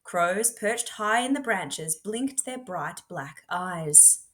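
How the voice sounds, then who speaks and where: A woman reading a storybook aloud expressively, in a measured, dramatic way with deliberate pauses. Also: on the low side, expressive, fairly bright tone. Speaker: teacher reading books aloud.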